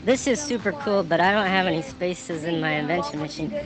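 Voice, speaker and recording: A man's voice: sits high, a little fast, over music, voice pushed up, low-quality recording. A man voicing a younger-sounding person through a voice changer, chatting casually in a game. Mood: friendly. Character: youthful higher voice from a voice changer. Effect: youthful-sounding voice changer. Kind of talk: casual gameplay chat.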